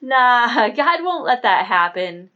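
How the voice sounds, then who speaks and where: A man's voice: extremely high pitch, very animated, slow, lo-fi sound, voice pushed up, full character voice. A man voicing a brash, stubborn young woman who argues emphatically in a colloquial way, in an audiobook. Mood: adamant.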